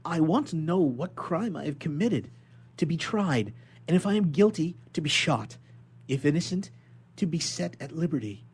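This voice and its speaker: A man's voice: fairly high pitch, lively intonation, moderate pace, slightly rough, voice pushed up. A man voicing a desperate young sailor held prisoner, pleading earnestly and politely in a dramatic audiobook reading. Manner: impassioned, earnest, imploring, formally polite.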